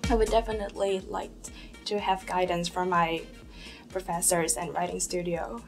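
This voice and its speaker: A woman's voice: on the low side, flat delivery, moderate pace, gravelly, music in the background. A young woman from China whose first language is Cantonese, a university student speaking earnestly in an interview with frequent hesitations. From Guangzhou, China. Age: young adult. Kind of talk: documentary interview.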